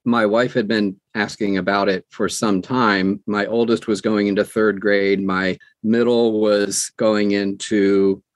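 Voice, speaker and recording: A man's voice: fairly low pitch, little pitch movement, a little slow, few pauses, dry recording. A man in his sixties talking conversationally as an interview guest, in a folksy way with phrases repeated for emphasis. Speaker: interview guest, audiobook narrator.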